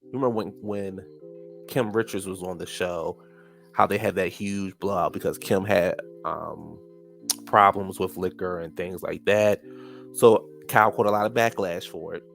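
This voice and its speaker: Sassy, gravelly-voiced man with a stuffed nose. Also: deep voice, fairly even intonation, unhurried, fairly bright tone, soft background music. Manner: sassy and chatty, calls people "child" and "honey". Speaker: reality TV recap host. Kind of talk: casual recap commentary.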